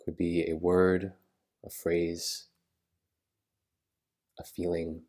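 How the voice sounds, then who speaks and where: A man guiding a meditation in a soothing manner, with unhurried phrasing and gentle pauses. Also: deep voice, completely flat delivery. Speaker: yoga nidra meditation guide. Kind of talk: guided meditation.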